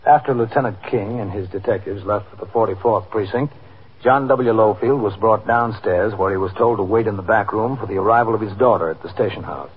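A police captain in a 1950s radio play. His voice is low and husky, calm and matter-of-fact. It comes through a dull old radio recording. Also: a little fast. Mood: measured, matter-of-fact. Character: calm, probing New York police captain, narrating. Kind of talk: 1950s radio drama acting and narration.

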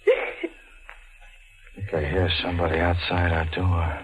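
An actor playing a jumpy, hard-boiled hoodlum in a 1940s radio thriller, talking tough, terse slang. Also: male voice, low voice, flat delivery, takes it slowly. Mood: tense, suspicious. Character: jumpy hard-boiled hoodlum dancer hiding out, narrating his tale. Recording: dull old radio broadcast with music.

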